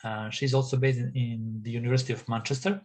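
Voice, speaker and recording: A man's voice: mid-range voice, fairly even intonation, fast, a little mellow. Serbian-accented man chairing an online session. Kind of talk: moderating an online academic session.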